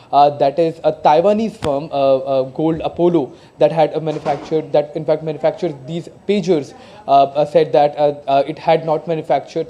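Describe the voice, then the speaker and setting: A man with an Indian accent giving live analysis as a news correspondent, speaking haltingly and often repeating himself. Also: fairly high pitch, mellow, muffled recording. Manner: halting, hesitant delivery with frequent repeated words.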